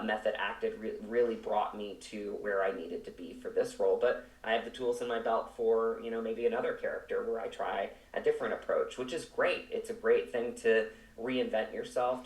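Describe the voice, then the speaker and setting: Male interview guest, actor. Low voice, earnest and emphatic, conversational, picked up from a distance with room echo. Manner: reflective, emphatic, circling back over phrases. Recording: roomy echo, distant microphone.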